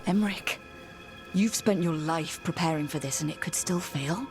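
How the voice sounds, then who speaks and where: An actress playing a curious, plain-spoken adventurer heroine in a fantasy video game, direct and conversational. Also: female voice, low voice, normal speed. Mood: probing. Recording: game music playing behind.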